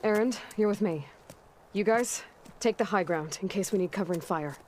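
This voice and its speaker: A woman's voice: medium pitch, moderate pace, darkish tone, slight background noise, natural voice. A woman playing a determined young warrior in a video game, terse and commanding as she leads a rescue mission.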